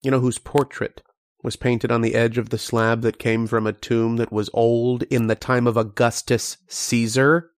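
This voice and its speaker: A man's voice: medium pitch, flat delivery, moderate pace, natural voice. A man playing a learned, patient archaeologist who explains and narrates in an old-time radio horror drama style. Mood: uneasy, brooding. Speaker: lead actor and narrator.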